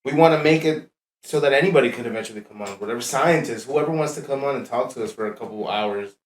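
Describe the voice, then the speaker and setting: A man's voice: medium pitch, fast. Earnest young male podcast host. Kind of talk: casual chat. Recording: echoey room.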